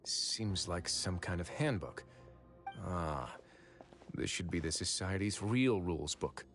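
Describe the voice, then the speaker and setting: An actor playing a composed, brooding investigator in a video game, speaking in a measured, formal and serious way. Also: male voice, low-pitched, expressive. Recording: game music behind the voice. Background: game music.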